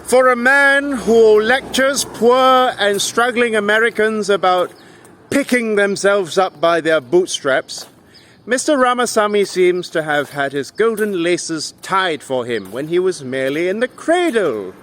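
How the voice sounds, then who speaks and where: A man speaks as a political commentator in a scripted, rhetorical monologue. His voice sits slightly high and moves in lively swings of pitch. He paces his phrases slowly, setting them apart with deliberate pauses for emphasis. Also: a little hiss or hum.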